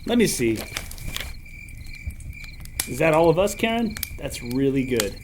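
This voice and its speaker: A man plays a laid-back young camp counselor in an audio drama. His voice is high and his delivery dryly skeptical. Night insects are clearly heard behind him. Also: sing-song, measured pace, noisy background. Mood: friendly.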